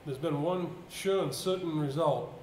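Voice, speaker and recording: A man's voice: fairly high pitch, darkish tone, natural voice. Man playing a grave, echoey haunted-village storyteller. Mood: grim, haunted. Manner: halting, solemn storytelling. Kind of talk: stage play dialogue. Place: indoor theatre stage. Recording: echoey amateur stage, faint noise.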